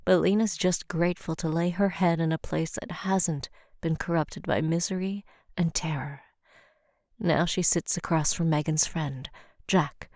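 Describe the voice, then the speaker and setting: Somber woman narrator, lowish dry voice. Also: a little mellow, no room sound. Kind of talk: scripted dramatic audio drama narration.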